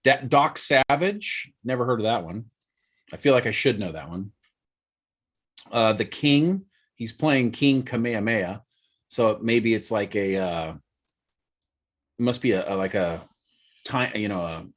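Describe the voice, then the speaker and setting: A man's voice: lowish voice, expressive, a little slow, long pauses. Man in his forties, musing chatter. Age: 40s. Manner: musing, thinking aloud. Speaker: podcast co-host. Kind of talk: casual chat.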